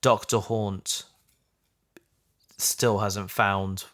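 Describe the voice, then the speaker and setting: A man with a somewhat low voice talks in an informal discussion. He is reflective, putting questions and feeling his way through his thoughts. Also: little pitch movement, very slow, fairly bright tone. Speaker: audio drama podcaster. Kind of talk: casual discussion chat.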